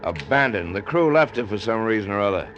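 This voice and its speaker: An actor playing a curt, commanding, sardonic chief lighthouse keeper in vintage radio drama style. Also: male voice, on the low side, expressive, a little fast, a bit bright, dull audio, a little hiss or hum. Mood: curt, brisk. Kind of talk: 1950s radio drama acting.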